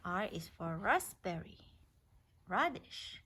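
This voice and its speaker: A woman's voice: fairly low pitch, big pitch swings, slow, bright, forceful tone, husky. A woman reading an alphabet picture book aloud as a mother to a child, naming words one by one in an instructive way with casual asides.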